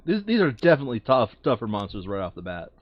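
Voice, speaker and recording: A man's voice: mid-range voice, sing-song, quick pace, no echo. A man chatting casually and thinking aloud as he plays a board game, sometimes muttering to himself, on a slightly dull lo-fi microphone. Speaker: board game player recording a playthrough.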